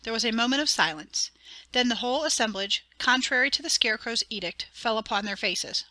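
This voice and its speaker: Bright-voiced woman narrating a storybook. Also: a little high, fairly quick, no room sound. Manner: clear, expressive storytelling. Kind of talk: dramatic audiobook reading.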